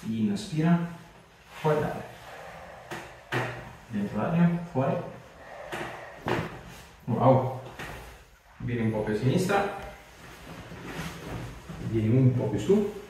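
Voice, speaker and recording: A man's voice: mid-range voice, lively intonation, slow, long pauses. Distant, echoey male chiropractor giving terse instructions. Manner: terse, directive. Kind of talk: short spoken instructions to a patient during treatment. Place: small bare clinic treatment room. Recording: echoey room, distant mic, loud noise.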